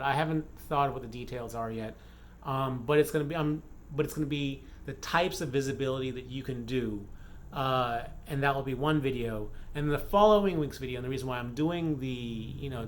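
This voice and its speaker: A man from the Bahamas talks through a casual vlog update in a loose, rambling way. He fills pauses with ums and uhs and often restarts his sentences. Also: mid-range voice. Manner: rambling, frequent fillers and self-corrections. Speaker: romance author and vlogger.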